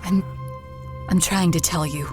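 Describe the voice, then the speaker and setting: An actress playing a haunted woman in an audio drama, confiding a ghost story in an anxious, halting, repetitive way. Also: fairly low pitch, fairly even intonation, a little mellow, soft background music, natural voice. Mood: eerie, intent.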